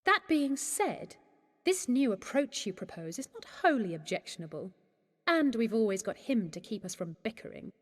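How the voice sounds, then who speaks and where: A woman's voice: a little high, very animated, normal speed. An actress playing a blunt, independent young noblewoman in a fantasy game, with haughty speech. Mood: proud. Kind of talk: formal fantasy game dialogue. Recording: long hall reverb.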